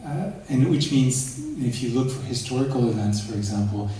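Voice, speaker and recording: A man's voice: mid-range voice, moderate pace, warm tone. Man in a distant echoey hall. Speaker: panelist. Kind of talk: conference panel discussion. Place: large conference hall.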